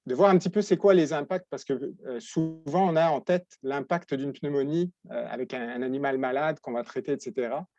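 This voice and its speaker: A man in his forties from France, with a French accent, giving a webinar presentation as a veterinary professor, in a halting way with many restarts. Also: medium pitch, over music. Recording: dull lo-fi dry sound.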